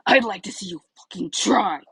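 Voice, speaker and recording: A furious teenage boy, performed by a woman narrator in a story reading, spoiling for a fight. The voice is husky and close, with a dry sound, and throws out loud, combative taunts. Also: mid-range voice, lively intonation, normal speed, no room sound, full character voice. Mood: furious, challenging. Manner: shouting, taunting.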